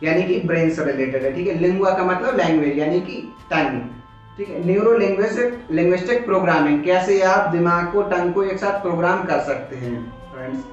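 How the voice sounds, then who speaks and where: A man's voice: high-pitched, a little fast. A man with an Indian accent lecturing informally like a classroom teacher, explaining by asking questions and answering them himself. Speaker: teacher, coaching instructor. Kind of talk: informal classroom-style lecture, mixing Hindi and English. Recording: echoey room, distant mic, dull sound, background music.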